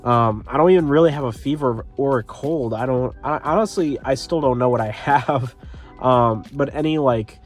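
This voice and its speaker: A man's voice: medium pitch, normal speed, faint music behind. A young man with a congested, sniffly voice, hosting his own channel and talking casually about football, rambling with fillers and restarts. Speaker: sports commentary channel host. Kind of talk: casual solo commentary.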